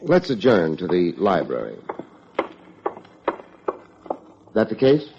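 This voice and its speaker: Low-voiced, wisecracking hard-boiled detective. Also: male voice, wildly swooping pitch, normal speed, gravelly, background noise, full character voice. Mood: brisk, sardonic. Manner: dry, sardonic, wordy. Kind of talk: old-time radio drama narration and dialogue. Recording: muffled old radio recording with music.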